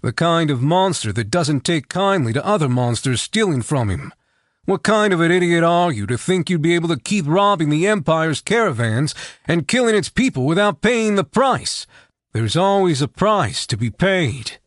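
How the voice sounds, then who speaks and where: A man's voice: fairly high pitch, big pitch swings, moderate pace, dry recording, pitched above the performer's own voice. A man voicing a cunning, ruthless male adventurer who speaks with dry, cold sarcasm. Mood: cold menace.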